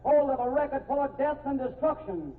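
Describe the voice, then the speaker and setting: A showy rodeo announcer. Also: male voice, extremely high pitch, animated. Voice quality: drawn-out showman calls. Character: booming male rodeo announcer. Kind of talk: 1940s radio acting. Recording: tinny distant old radio broadcast.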